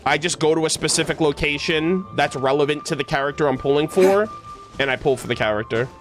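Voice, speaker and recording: Male game streamer, slightly high voice, loose slangy chatter full of self-corrections, music underneath. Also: a little fast. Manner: rambling, self-correcting, slangy, animated asides. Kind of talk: casual livestream chat with viewers.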